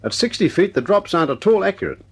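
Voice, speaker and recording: An actor playing a breezy Australian bomber pilot in an old radio drama, laughing easily. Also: male voice, high voice, sing-song, fast, breathy edge, exaggerated voice. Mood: concerned. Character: brash Australian bomber pilot, young man. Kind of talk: 1940s radio acting.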